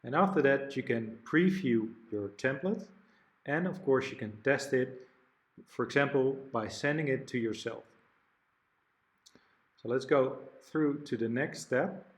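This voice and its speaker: A man narrating a step-by-step software demo, explaining each screen in turn with the odd restart. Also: medium pitch, unhurried. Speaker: product demo presenter.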